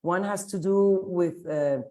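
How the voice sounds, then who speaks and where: Woman moderating a zoom panel, low dark-ish voice, polite, lots of uh and um. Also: a little slow. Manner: hesitant, gracious, with fillers. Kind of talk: hosting an online panel. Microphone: video call.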